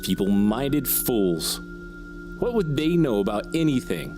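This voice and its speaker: An actor playing a jaded, egotistical horror writer in an audio drama, with a wordy, pompous, theatrical way of talking. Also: male voice, medium pitch, big pitch swings, a little slow, music in the background. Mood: bitter brooding.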